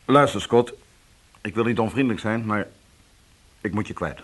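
An actor playing a gruff but apologetic male boss in a radio drama, with a husky voice. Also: fairly low pitch, moderate pace. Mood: firm, irritated.

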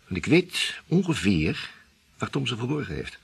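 An actor playing a determined, level-headed detective in a radio play, reasoning things through earnestly. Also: male voice, mid-range voice, very animated, normal speed, vocal fry, breathy edge, heavily acted.

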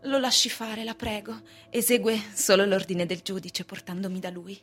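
A woman's voice: medium pitch, moderate pace, fairly bright tone, lightly acted. A woman acting in a radio drama as a flirtatious, fickle wife, coy and confiding in her delivery. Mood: agitated, pleading. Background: music.

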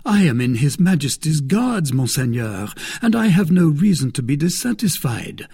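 A man's voice: fairly high pitch, very animated, moderate pace, gravelly, warm tone, husky, voice pushed up, exaggerated voice. A man playing a brave young guardsman in a novel, speaking with earnest, formal deference and some wariness. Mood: embarrassed. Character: brave, respectful, wary young male guardsman.

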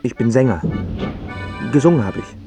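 A man's voice: medium pitch, expressive, normal speed, music in the background, natural voice. Man acting an anxious, self-doubting washed-up singer. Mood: hesitant. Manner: brooding, needy, self-questioning. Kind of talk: stage-like radio drama acting.

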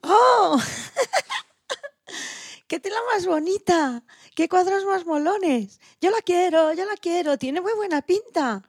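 A woman playing a naive, excitable young male elephant in a children's story, with childlike wide-eyed exclamations. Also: very high-pitched, slow, pauses often, no room sound, studio quality, exaggerated voice. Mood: delighted wonder.

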